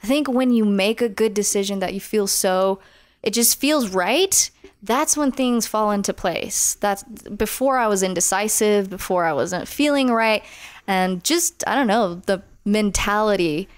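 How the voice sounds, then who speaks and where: A young woman in her twenties, a musician guest on a podcast, talking casually and animatedly with a smooth voice. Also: mid-range voice, measured pace, no room sound. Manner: animated, acts out her own inner voice.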